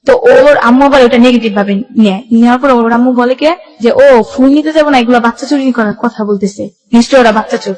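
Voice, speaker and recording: A woman's voice: on the high side, quick pace, darkish tone, rough recording. A young woman with a Bangladeshi Bengali accent tells her life story as a guest, in colloquial run-on sentences with reported dialogue. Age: young adult. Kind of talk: personal life-story narration.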